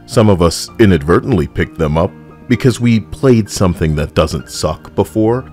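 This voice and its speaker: A man's voice: deep voice, a little mellow. A man giving casual, sardonic, rambling video game commentary as host, close on the mic. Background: music behind the voice.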